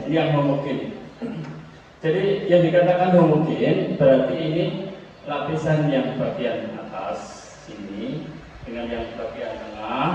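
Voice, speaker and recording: A man's voice: medium pitch, a little mellow. A man teaching farmers at a village gathering, explaining a demonstration step by step and often checking in with "ya". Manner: explanatory, repetitive, checks listeners with "ya". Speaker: agricultural extension instructor. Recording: echoey, distant, dull with noise.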